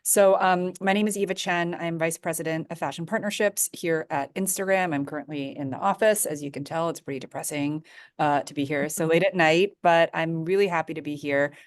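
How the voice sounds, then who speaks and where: A woman's voice: sits low, fairly even intonation, fairly quick, no room sound, clean studio sound. A woman from New York introducing herself on a video-call panel from her office, in an upbeat, chatty way with frequent fillers. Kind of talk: conversational self-introduction.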